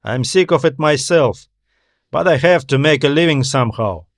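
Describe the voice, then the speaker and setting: A male narrator performs a jaded trader on a remote space station in a sci-fi audiobook. The man is frank and informal, with a sardonic grumble and a fairly high pitch, in a very dry recording. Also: lively intonation, moderate pace, no echo. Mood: grumbling.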